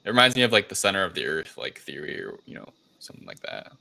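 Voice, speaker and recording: Very low, creaky-voiced man recapping a film. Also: huge pitch swings, sharp tone. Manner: loose, halting recap with frequent "like". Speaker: podcast host recapping a film. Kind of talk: casual chat, film plot recap.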